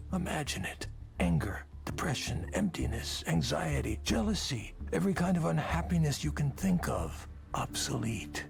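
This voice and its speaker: An actor playing a jaded, whiskey-drinking tech visionary in a visual novel, speechifying persuasively in a ragged, creaky voice. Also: male voice, mid-range voice. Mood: fervent. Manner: speechifying, persuasive, world-weary. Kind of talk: dramatic visual novel voice acting.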